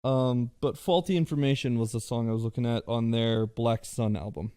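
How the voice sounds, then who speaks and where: A man chatting as a guest on a podcast, his voice warm and mellow. He talks in a loose, hesitant way, full of ums and restarts. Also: medium pitch, moderate pace, clear, resonant tone. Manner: hesitant, lots of fillers and restarts. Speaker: guest, YouTube creator. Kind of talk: casual podcast chat.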